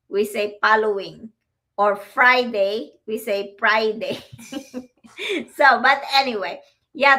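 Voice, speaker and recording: A woman in her fifties with a Filipino accent, co-hosting a casual livestream. She talks slowly in a bright, forward voice. She addresses her viewers in a friendly way. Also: mid-range voice. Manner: friendly, speaks straight to viewers, laughs easily. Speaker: livestream co-host.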